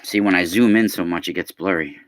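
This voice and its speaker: A man's voice: sits low, a little fast, no room sound. A man in his forties with a youthful-sounding voice, casually chatting to viewers by name while hosting a live unboxing. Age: 40s. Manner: chatty, talks to viewers by name, bursts of excitement. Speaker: live stream host.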